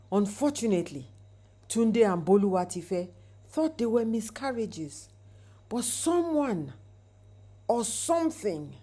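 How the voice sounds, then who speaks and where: A woman's voice: medium pitch, mellow, husky. A woman narrating a scripted folktale in a dramatic, suspenseful storytelling manner with rhetorical asides.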